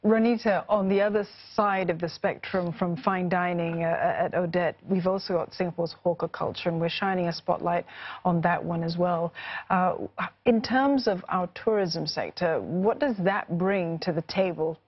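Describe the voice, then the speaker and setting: A woman's voice: lowish voice, breathy edge, lo-fi sound. A woman news anchor asking guests questions in a TV studio. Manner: measured, questioning.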